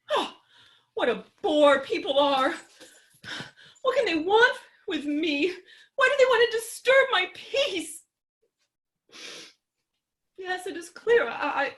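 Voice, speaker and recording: Woman acting a high-pitched, melodramatic grieving widow. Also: full character voice. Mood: haughty irritation. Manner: theatrical, drawn-out lamenting. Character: melodramatic, grief-stricken young widow, self-pitying and imperious. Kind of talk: staged reading of a stage comedy.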